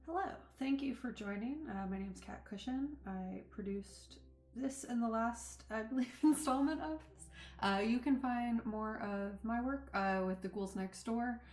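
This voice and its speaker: A woman's voice: a little high, unhurried, darkish tone, faint music behind. A woman producer casually introducing herself and her work. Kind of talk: casual self-introduction and plug. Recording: roomy, echoing voice track.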